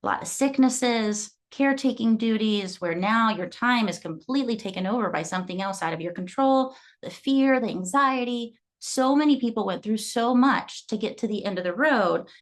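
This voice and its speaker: A woman's voice: on the high side, animated, moderate pace, hardly any pauses. A woman in her thirties from Guatemala, a fitness coach giving an earnest motivational talk that is full of client stories. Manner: earnest, storytelling. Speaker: fitness coach and gym owner.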